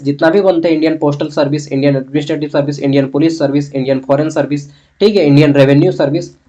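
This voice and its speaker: Higher-voiced Jharkhand man teaching informally. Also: a little mellow. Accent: Jharkhand Hindi. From Jharkhand, India. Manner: asks questions and answers them himself; colloquial, chatty, addresses students directly. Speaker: history teacher for college students. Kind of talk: informal exam-prep lesson.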